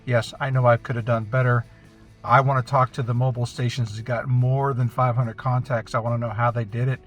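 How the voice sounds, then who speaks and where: A man's voice: mid-range voice, flat delivery, brisk, faint music behind. A man giving a casual, unscripted recap as an amateur radio vlogger, chatty and informal, thinking aloud as he goes.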